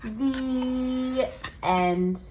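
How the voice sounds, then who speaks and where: A woman reading a picture book aloud for young children in an expressive, cheerful and measured storytelling manner. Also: high voice, a little hiss or hum. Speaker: children's picture book reader and channel host.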